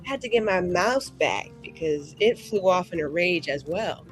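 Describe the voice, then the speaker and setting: A woman's voice: on the low side, lively intonation, moderate pace, poor audio. A young woman playing a tabletop game, chatting casually in a theatrical, sweary, mischievous manner. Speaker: player. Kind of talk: casual, excited game chat. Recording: faint music behind. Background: soft background music.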